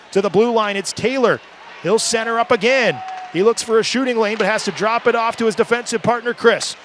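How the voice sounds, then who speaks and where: A man calling live ice hockey play-by-play for radio in an excitable manner, building from steady calls to shouts. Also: sits high, slight background noise.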